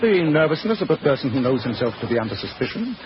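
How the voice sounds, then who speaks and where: An actor playing Sherlock Holmes as a brisk, self-assured English gentleman detective, speaking crisply in theatrical 1940s radio style. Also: mid-range voice, sing-song, natural voice. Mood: self-satisfied, explaining. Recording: muffled, hissy 1940s radio recording.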